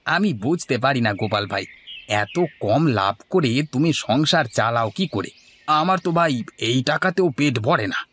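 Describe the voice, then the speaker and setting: Male actor as crooked cartoon milkman, greedy, bossy, brusque, medium pitch. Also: lively intonation, normal speed, fairly bright tone, a little hiss or hum, lightly acted. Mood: needling. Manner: brusque, self-justifying. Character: greedy, cheating village milkman, bossy husband. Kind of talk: scripted cartoon dialogue.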